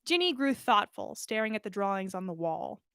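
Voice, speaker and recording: A woman reading fan fiction aloud as a podcast host, in an expressive storytelling style. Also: medium pitch, normal speed, vocal fry, clean tone, dry recording, studio quality.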